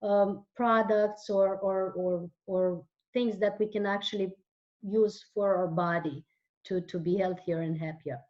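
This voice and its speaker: Woman speaking English as a second language, slow and searching. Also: mid-range voice, steady tone, muffled recording. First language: Romanian. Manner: slow, searching, with frequent restarts. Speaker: guest author. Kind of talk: interview answers.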